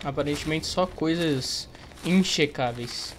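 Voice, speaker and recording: A man's voice: fairly high pitch, measured pace, slight background noise. A young man with a Brazilian accent rambles casually through gameplay commentary. He is slangy and joking, close on the mic, with faint game ambience and typing behind him. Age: young adult. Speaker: gaming streamer. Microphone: close desk microphone. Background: game ambience, keyboard typing.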